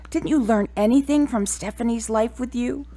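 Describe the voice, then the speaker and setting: A woman's voice: fairly high pitch, a little slow, mellow. A woman playing a stern but loving middle-aged mother in an audio drama, probing and admonishing her grown son.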